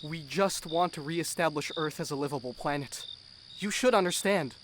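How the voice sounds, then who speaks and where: A man's voice: fairly high pitch, moderate pace, noisy background, natural voice. A man playing an earnest, protective outlaw idealist in an audio drama. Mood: urgent, pleading.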